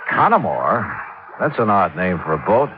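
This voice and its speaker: A man's voice: low-pitched, big pitch swings, normal speed. A man playing a cool, probing American insurance investigator, clipped and dry, in hardboiled old-time radio drama style. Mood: casual, polite. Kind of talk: 1950s hardboiled radio drama acting with narration. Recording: tinny, noisy old radio recording.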